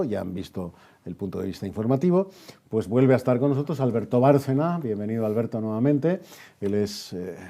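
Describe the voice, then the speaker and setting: A man's voice: fairly low pitch, warm tone. A man with an accent from Spain, presenting news as a bulletin host.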